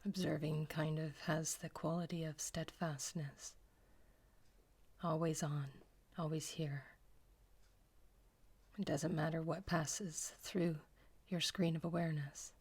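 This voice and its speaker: A woman leading a guided meditation, speaking softly in a breathy, half-whispered voice with slow, gentle repetition. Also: low voice, fairly even intonation.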